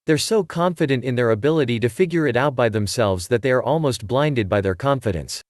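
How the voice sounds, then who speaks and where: A man's voice: medium pitch, expressive, brisk, no room sound. Man reading a dry, close-sounding explainer voice-over. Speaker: voice-over narrator. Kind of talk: scripted explainer narration for marketing.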